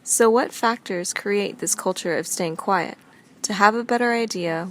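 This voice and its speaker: A woman reading a scripted documentary narration in a steady, explanatory way. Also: mid-range voice, a little hiss or hum.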